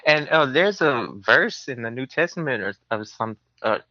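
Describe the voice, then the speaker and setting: Young male guest, bright lowish voice, casual storyteller, slightly dull lo-fi sound. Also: expressive, moderate pace, dry recording. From southern United States. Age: early 20s. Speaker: podcast guest.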